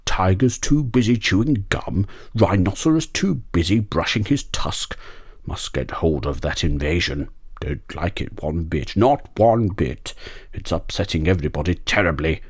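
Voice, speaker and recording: A man's voice: sits low, lively intonation, unhurried, slightly rough, heavily acted. A man voicing a fussy, suspicious male wild boar in a storybook, muttering in clipped, grumbling phrases. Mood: muttering, disgruntled.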